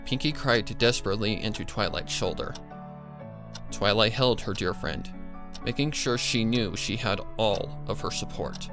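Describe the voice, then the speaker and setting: A man narrating a scripted horror story in a grave, measured, dramatic way. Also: lowish voice. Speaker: fan fiction narrator. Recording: music bed behind the voice. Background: music.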